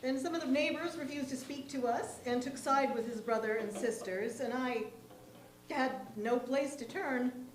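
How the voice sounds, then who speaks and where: An embattled, unhinged farm wife, acted by a woman confides her ruin in a theatrical monologue. Her fairly high voice lingers on words with emphasis, in a very echoey room. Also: normal speed, natural voice. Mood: aggrieved, unsettled. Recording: echoey room with faint humming noise.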